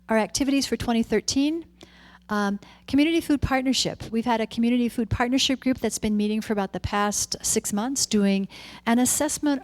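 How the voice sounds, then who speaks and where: A woman's voice: a little high, darkish tone. A woman, a public health official presenting plans to a city committee in an informal way, walking through her slides.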